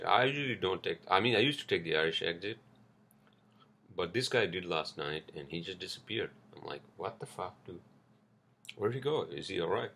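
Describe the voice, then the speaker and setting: A man's voice: deep voice, creaky, fairly bright tone. A man hosting a casual solo podcast, rambling loosely with lots of fillers, restarts and swearing, speaking English with an accent. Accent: non-native English accent. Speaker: podcast host.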